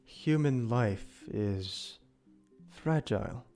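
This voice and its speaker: A man playing a calm, solemn immortal demon protector in an audio drama, speaking in formal, measured, archaic phrasing. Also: mid-range voice, faint music behind. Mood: grave. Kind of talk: audio drama character acting.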